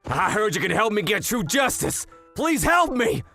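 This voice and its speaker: A man's voice: high voice, sing-song, normal speed, sharp tone, soft background music, heavily acted. A man playing a desperate, grieving fiancé in a manga drama, pleading and impassioned for vengeance. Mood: anguished, pleading.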